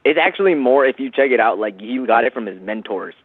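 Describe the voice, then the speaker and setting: A man on a phone, giving a casual, slangy, persuasive sales pitch peppered with man and bro. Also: expressive, fast, husky, no echo. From San Francisco Bay Area, USA. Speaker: phone sales representative for a self-help program. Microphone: telephone. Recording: tinny narrowband phone line.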